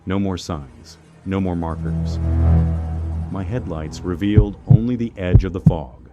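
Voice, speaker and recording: A man narrating a scripted first-person horror story in a measured, suspenseful manner. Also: low-pitched, highs cut off, loud music behind the voice.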